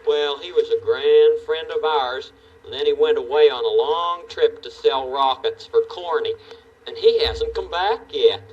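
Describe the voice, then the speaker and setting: A man's voice: a little high, unhurried, a little mellow, distant mic, muffled recording. A man playing a friendly, eager, curious puppet neighbor on a children's show, full of folksy exclamations. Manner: folksy exclamations, boyish enthusiasm.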